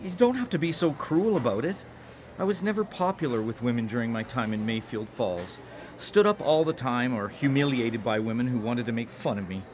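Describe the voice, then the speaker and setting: A man's voice: medium pitch, expressive, a little fast, darkish tone, lightly acted. An actor in a radio drama playing a shy, earnest man of faith, hesitant and awkward on a first date. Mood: flustered, sheepish.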